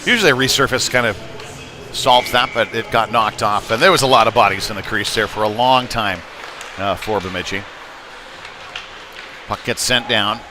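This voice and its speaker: A man's voice: lowish voice, moderate pace, long pauses, crowd noise. A man doing live hockey play-by-play in a folksy, chatty way that lifts with the action. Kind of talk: live sports commentary with casual asides. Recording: noisy live rink ambience.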